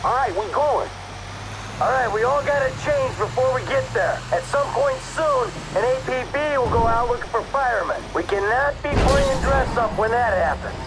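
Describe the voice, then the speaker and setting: Very high-pitched urgent American crewman. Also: big pitch swings, moderate pace. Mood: brisk, commanding. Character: urgent streetwise male heist crewman, bossy. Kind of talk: video game dialogue. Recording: clear street and vehicle noise behind.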